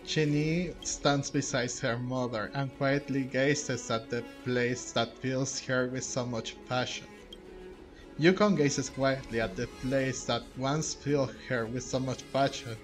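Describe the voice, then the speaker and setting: Gamer recapping a quest: a man who talks slowly and circles back on his words, over soft music. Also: medium pitch, steady tone. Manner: rambling, thinking aloud with many restarts. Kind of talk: casual gameplay commentary.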